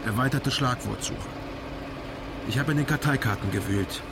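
A man acting as an understated investigator in a crime radio play. He has a mid-pitched voice and talks drily and precisely, with clear vehicle noise around him. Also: moderate pace, natural voice. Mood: explanatory. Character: terse, factual male investigator on a car trip. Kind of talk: radio crime drama dialogue. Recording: clear car and road noise. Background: car, outdoor vehicle noise.

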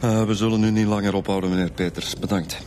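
An actor as a casual Flemish detective, noisy ambience. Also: male voice, on the low side, steady tone, quick pace, lightly acted. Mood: polite, businesslike. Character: laid-back, wry male police detective inspector. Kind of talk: naturalistic radio drama dialogue. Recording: clear background ambience noise.

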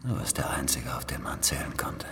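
An actor playing a weary, cynical old spy in a German radio drama, sighing and speaking in a deliberate, knowing way. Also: male voice, very deep voice, animated, whispered. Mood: weary, explanatory.

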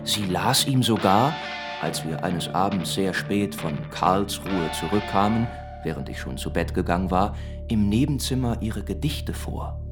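Slow, ironic, seductive gentleman. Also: low voice, lively intonation, music in the background. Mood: wry recollection. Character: self-assured, ironic, seductive gentleman recounting his affair. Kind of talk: German radio play acting and first-person narration.

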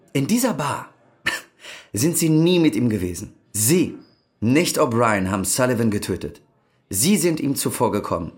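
An actor playing a confrontational, sneering police interrogator in a German-language radio crime drama. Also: male voice, medium pitch. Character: hard-nosed, accusing male police interrogator.

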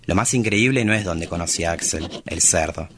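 A man's voice: sits low, a little fast, close to an everyday voice. A man with an Argentine accent playing a flamboyant, lovestruck, motherly cabaret performer, confessional and poetic, in a dramatized comic reading. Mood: proud, poetic. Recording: clearly noisy room recording.

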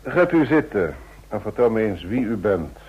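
An actor playing a shrewd, easygoing president in an old radio drama, speaking formally and deliberately. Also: male voice, on the low side, sing-song, a little hiss or hum. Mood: probing, composed. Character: shrewd, easygoing president of a large federation, a man. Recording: muffled old radio play recording.

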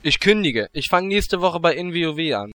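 A man's voice: a little high, sing-song, moderate pace, fairly bright tone, no room sound. A man playing a cheeky, grumbling adventurer in a parody audio drama, in colloquial style. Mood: peeved.

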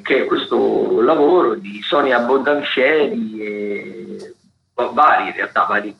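A man giving a loose radio rundown of events as a critic, haltingly, searching for words and correcting himself. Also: fairly low pitch, creaky. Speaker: theatre critic presenting a weekly events agenda. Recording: roomy, dull lo-fi radio sound.

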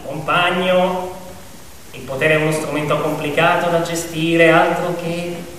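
An actor playing a smooth-talking, pompous pig propagandist who addresses his comrades in a theatrical radio drama. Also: on the high side, level tone, unhurried, a bit bright, slight background noise. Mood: smug, cajoling. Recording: echoey hall, distant mic, music behind.

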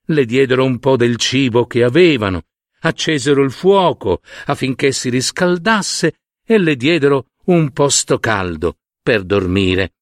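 A man narrating a fairy tale expressively as a storyteller, with dramatic, deliberate pauses. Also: medium pitch, expressive, dry recording, clean studio sound.

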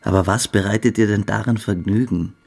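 A man's voice: fairly low pitch, steady tone, fairly quick, vocal fry. A man in a radio play acting an impatient, matter-of-fact schoolboy who questions and dismisses his friend. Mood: impatient, probing. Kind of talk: German radio play dialogue.

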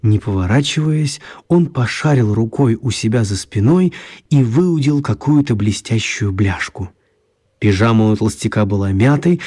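A man narrating a children's radio play. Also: mid-range voice. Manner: even, storytelling. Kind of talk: scripted storybook narration.

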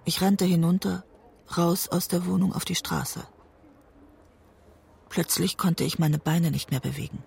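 An actress playing a haunted woman looking back on her marriage, husky and breathy, in grave, restrained audio drama narration. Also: on the low side, measured pace, long pauses, darkish tone, full character voice. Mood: haunted, tense. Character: haunted woman writer recalling a destructive marriage. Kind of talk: literary first-person audio drama narration.

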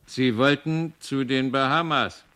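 An actor playing a sober commander who reports matter-of-factly as a witness in a radio play. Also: male voice, mid-range voice, a little slow, bright, forceful tone. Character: sober male coast guard commander giving testimony.

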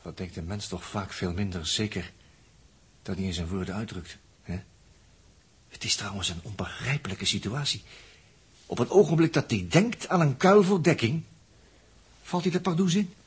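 A man's voice: on the low side, expressive, moderate pace, long pauses. An actor playing a down-to-earth, wry spaceship crewman with a Netherlands Dutch accent, in colloquial, grumbling 1960s radio drama style. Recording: husky-sounding old 1960s radio recording.

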